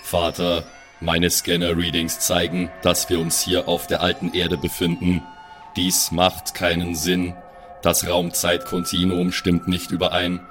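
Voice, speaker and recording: A man voicing an alarmed robot in a monotone, technical manner. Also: low voice, unhurried, over music, voice pushed down. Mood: stiff alarm. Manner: monotone, technical, repeats alerts. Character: alarmed robot scanner, stiff walking tin can.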